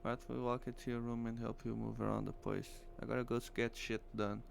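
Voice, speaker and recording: A man voicing a brisk, commanding female gang boss in a visual novel. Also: medium pitch, flat delivery, creaky, slight background noise.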